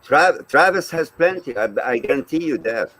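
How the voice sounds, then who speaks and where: A man's voice: on the high side, lively intonation, normal speed, a bit bright. A man speaking informally as a panelist in a religious discussion, explaining his views in plain conversational terms.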